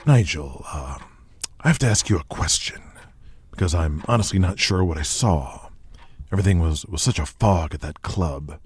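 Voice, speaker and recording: Man acting a low, hushed, worried wrestling boss. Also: expressive, moderate pace, husky. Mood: uneasy, hesitant. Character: worried, calculating male wrestling company boss. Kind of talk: audio drama acting. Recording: music behind the voice.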